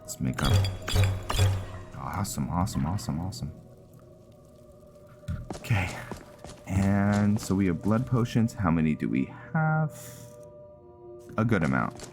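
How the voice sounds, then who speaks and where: A man with an extremely low voice talks through his roleplay game in a casual way. He mutters to himself and tends to repeat words, with music clearly playing behind him. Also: sing-song, a little slow, pauses often. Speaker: gaming content creator.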